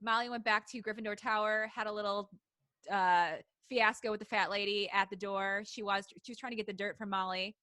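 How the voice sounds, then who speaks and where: A woman's voice: medium pitch, fairly even intonation, a little fast, pushed and bright, no room sound, clean studio sound. A woman co-hosting a casual, comedic podcast chat, giggly and a little hesitant as she talks. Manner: giggly, hesitant when recapping. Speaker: podcast co-host. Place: recorded remotely over a video call.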